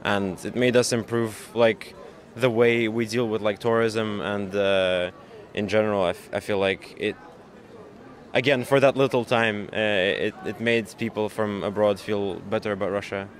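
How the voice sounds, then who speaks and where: A man's voice: fairly low pitch, fairly even intonation, normal speed. A young man answering a street interviewer hesitantly, stumbling and restarting as he works out his thoughts. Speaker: passer-by interviewee. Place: city street outdoors.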